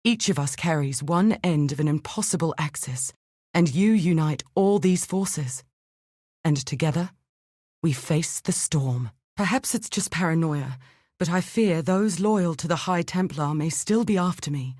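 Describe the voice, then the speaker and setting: An actress playing an earnest, thoughtful scholar in exile in a video game, speaking in a measured, articulate and grave way. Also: female voice, low-pitched, a little mellow, no echo, studio quality. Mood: awed, intense, then wary. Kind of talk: scripted dramatic game dialogue.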